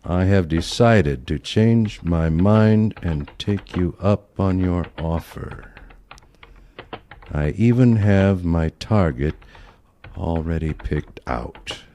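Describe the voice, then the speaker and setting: A man acting as a sly, crusty elderly grandfather in an audio play, speaking in a deliberate, halting way. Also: low voice, dark tone, highs cut off, slight background noise. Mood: coldly scheming. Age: older.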